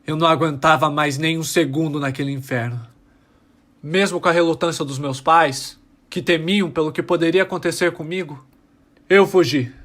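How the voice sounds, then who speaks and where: A man playing a bitter young alien revolutionary, giving deliberate, indignant testimony in an audio drama. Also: on the high side, fairly even intonation, fairly bright tone, natural voice. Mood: resolute.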